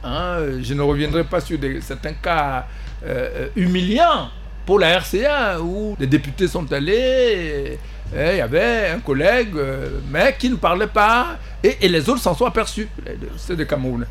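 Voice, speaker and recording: A man from the Central African Republic, a party president speaking as a guest in a panel debate, halting, repeating words and pressing his points. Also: fairly high pitch, animated, slight background noise. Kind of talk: panel talk show debate.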